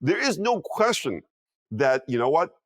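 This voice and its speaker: A man's voice: on the high side, sing-song, a little slow, no echo. A man in his fifties giving emphatic interview commentary, often checking in with "okay?" and "you know what?". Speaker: guest strategist.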